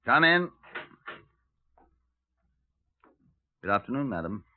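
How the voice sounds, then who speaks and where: An actor playing a world-weary middle-aged police superintendent in a 1950s radio crime drama, speaking in a dry, deliberate, formal way. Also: male voice, mid-range voice, very animated, natural voice. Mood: dry questioning. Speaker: detective and narrator. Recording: muffled, narrow-band 1950s radio recording.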